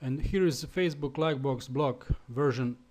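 A man from Slovenia with a Slovenian accent, speaking English as a second language in a halting screencast tutorial with fillers and restarts. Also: medium pitch, a little mellow. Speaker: web developer.

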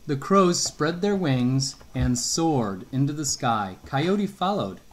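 Unhurried man reading a storybook aloud. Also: fairly high pitch. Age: middle-aged. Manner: unhurried, expressive, asks questions as he reads. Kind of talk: storybook read-aloud to a young child. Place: home kitchen.

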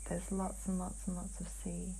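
A woman describing a trance vision in a dreamlike way as a guided hypnosis client, halting and hushed, with long pauses. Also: fairly low pitch, monotone, vocal fry, slight background noise, poor audio.

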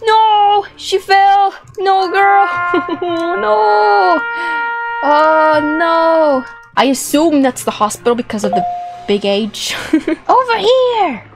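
Very high-pitched young woman gamer. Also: expressive, slow, vocal fry. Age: young adult. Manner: giggly, exclamatory, repeats phrases. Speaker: gaming video host. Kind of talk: playful gameplay commentary. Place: small indoor room with plush toys. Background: music.

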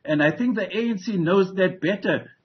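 A man's voice: high voice, normal speed. An older man with a South African accent, giving assertive political analysis on a news show over a video call, with many restarts. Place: home room with wooden ceiling. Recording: muffled video call audio.

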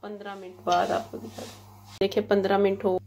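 Woman host, even and steady recipe walkthrough, recorded on a camera mic with kitchen noise behind. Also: mid-range voice. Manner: plain, measured listing of ingredients. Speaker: cooking channel host. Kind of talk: step-by-step recipe instruction. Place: home kitchen. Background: frying and stirring sounds.

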